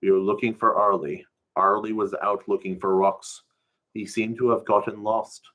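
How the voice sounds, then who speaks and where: A man's voice: fairly low pitch, little pitch movement, normal speed, fairly bright tone. A man reading a first-person story aloud in a colloquial, storytelling way.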